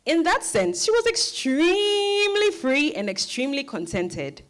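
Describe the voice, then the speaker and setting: A woman's voice: extremely high pitch, very animated, measured pace. A woman narrating a scripted dramatized reading as storyteller.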